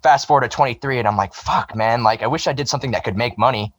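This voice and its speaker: A man's voice: mid-range voice, quick pace, fairly bright tone, no room sound. A man in his twenties from the American South, a guest musician in a rambling, swear-heavy casual podcast chat. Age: 20s.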